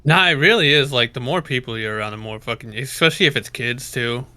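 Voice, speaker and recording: A man's voice: mid-range voice, fast talker, sharp tone, no echo. A young man chatting as a podcast guest, teasing his friend with crude jokes and a dry delivery. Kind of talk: casual podcast chat.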